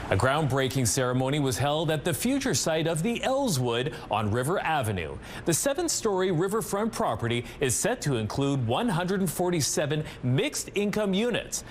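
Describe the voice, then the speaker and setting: Man, Canadian accent, news anchor, scripted reading, slightly high pitch, lively inflection, faint background noise. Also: normal speed, hardly any pauses. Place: TV news studio.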